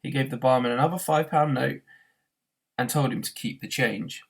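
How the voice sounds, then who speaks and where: A man reading a novel aloud as an expressive narrator, in the way of a teacher reading to a class. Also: medium pitch, fairly quick, vocal fry, breathy edge.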